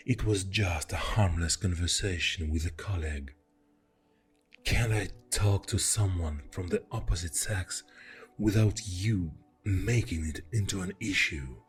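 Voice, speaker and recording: A man playing an exasperated, defensive boyfriend in an ASMR audio roleplay, speaking in a deep, soft voice with heavy sighs. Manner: slow, pausing, with heavy sighs. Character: exasperated, defensive boyfriend arguing with a jealous partner.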